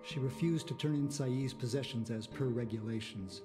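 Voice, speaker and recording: A man voicing a discreet, long-lived officer in a video game, speaking in a measured, formal and solemn way. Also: mid-range voice, over music, close to an everyday voice. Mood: somber.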